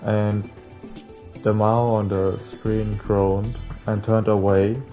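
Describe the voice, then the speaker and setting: Low, flat-toned young man reading aloud. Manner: halting, stumbling reading with casual slangy interjections. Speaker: gaming streamer. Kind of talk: reading a visual novel aloud with casual asides. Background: faint game music.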